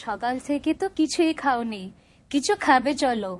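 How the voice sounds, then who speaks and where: A woman's voice: high-pitched, normal speed, lightly acted. A woman voicing a caring, earnest young village woman in a Bengali cartoon.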